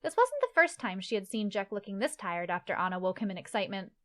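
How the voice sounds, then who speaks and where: A woman's voice: medium pitch, big pitch swings, fast, fairly bright tone. A woman reading scripted fantasy story narration as the narrator of an audio play.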